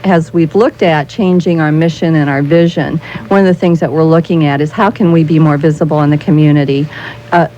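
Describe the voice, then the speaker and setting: An American woman speaking as a guest spokesperson in a radio interview, explaining things in a halting way, often repeating and rephrasing her phrases. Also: low voice, steady tone, mellow. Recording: muffled 1990s radio recording with noise.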